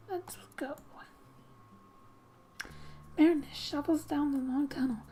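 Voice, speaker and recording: Soft-spoken high-voiced woman, ASMR narration. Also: a little hiss or hum, rough recording. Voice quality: soft, often dropping into a breathy whisper. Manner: gentle storybook-like reading with quiet asides. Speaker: gaming ASMR video host.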